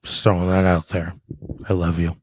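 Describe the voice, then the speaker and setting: Man in his thirties, fairly low voice, blunt and profane, close dry mic. Casual podcast guest. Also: flat delivery, moderate pace, a little mellow, slightly rough, no echo. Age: 30s.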